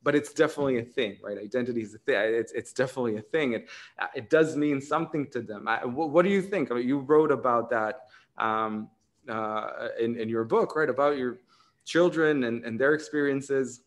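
A man in his thirties with an Israeli accent speaks over a video call from a room at home. His speech is reflective and academic, full of fillers, restarts and an ever-present "right?". The pitch sits in a middle range for a man. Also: a little fast. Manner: thoughtful, many fillers and restarts, frequent "right?". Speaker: author in conversation.

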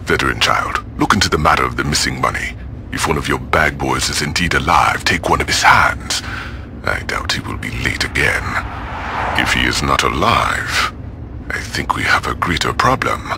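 An actor playing a menacing gang boss in a video game, speaking with formal, coldly polite measure. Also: male voice, a bass voice, lively intonation, moderate pace, full character voice. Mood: cold, measured. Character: menacing, courteous gang boss called the general. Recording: clearly noisy, car interior ambience.

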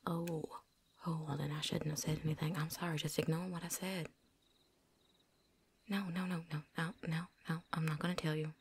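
Woman playing a whispering, gravelly seasoned vampire hunter. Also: deep voice, flat delivery, normal speed, heavily acted. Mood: uneasy, guarded. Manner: hushed, folksy drawl, teasing. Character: seasoned female vampire hunter, wary, folksy, gruffly friendly. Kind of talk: whispered ASMR roleplay.

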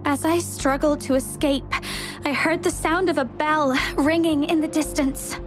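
A woman's voice: high-pitched, steady tone, measured pace, music in the background. A woman voicing an anxious, earnest young explorer in a video game. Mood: fearful.

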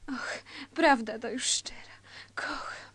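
A woman's voice: sits high, takes it slowly, a bit bright, whispered, heavily acted. A woman playing a passionate, discontented young wife in a comic crime radio play, theatrical and overwrought. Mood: breathy adoring. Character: passionate discontented young wife plotting against her husband.

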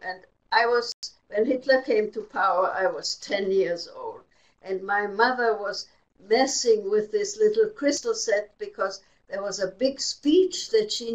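An older woman whose first language is German tells her story slowly and plainly, with long unhurried phrases. Her voice sits at a middle pitch. The sound is dull and lo-fi, as if picked up by a webcam across a room. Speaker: Holocaust survivor guest. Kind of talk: slow personal recollection. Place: indoor exhibit room. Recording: dull lo-fi webinar audio.

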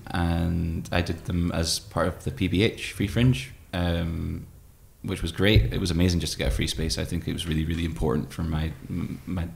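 Very deep, gravelly, hesitant man. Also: a little mellow. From Scottish Highlands. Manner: hesitant, thoughtful, halting. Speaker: guest, poet and musician. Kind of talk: reflective conversational interview. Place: room with tall windows, indoors. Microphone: microphone on desk stand.

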